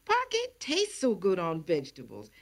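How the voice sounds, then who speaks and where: An actress playing a folksy, chatty housewife in a 1940s radio commercial. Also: female voice, wildly swooping pitch, normal speed. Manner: folksy, chatty, sales pitch.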